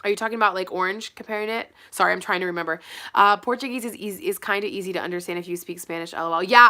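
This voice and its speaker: Bubbly bright-voiced woman, a bit nasal. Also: medium pitch. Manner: chatty, bubbly, reads comments aloud. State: slightly nasal from a cold. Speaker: cheer YouTuber answering live chat. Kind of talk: casual chat.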